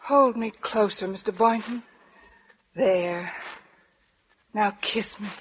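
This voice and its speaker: An actress playing a wry, underpaid high school English teacher in a 1940s radio comedy, with dry, wisecracking delivery. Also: female voice, takes it slowly, a little hiss or hum, lightly acted. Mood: dreamy, amorous. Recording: dull vintage 1940s radio recording.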